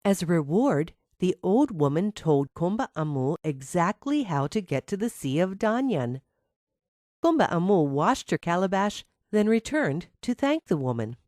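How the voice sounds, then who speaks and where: A woman's voice: fairly low pitch, very animated, moderate pace, a little mellow, no echo, clean studio sound. A woman narrating a scripted fairy tale for children as a storyteller.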